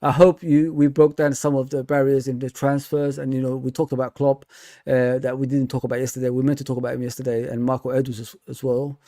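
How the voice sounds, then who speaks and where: A man's voice: medium pitch, flat delivery, quick pace, dark tone, no echo, clean studio sound. A man hosting a casual fan livestream, laughing often and reading viewer comments aloud.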